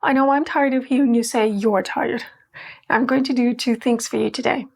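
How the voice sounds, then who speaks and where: A woman from Portugal talks through a scripted language lesson. Her voice sits a little high, with a gravelly, fry-tinged edge. She teaches in a playful, emphatic way and slows down to spell out words. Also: steady tone.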